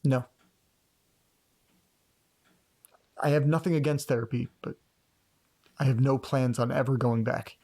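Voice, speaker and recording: A man's voice: medium pitch, steady tone, moderate pace, creaky, dark tone. A man acting as an anxious, self-doubting man caught up in eerie mysteries, speaking hesitantly and earnestly in a naturalistic horror audio drama. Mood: subdued, vulnerable.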